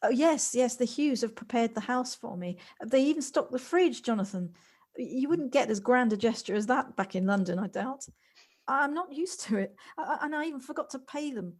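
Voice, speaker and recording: A woman's voice: on the high side, lively intonation, normal speed, a little mellow. A woman acting in an audio play as an anxious, polite newcomer to the countryside, speaking in hesitant, formal, wordy sentences. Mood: fond, hesitant. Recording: home recording on personal device, noisy.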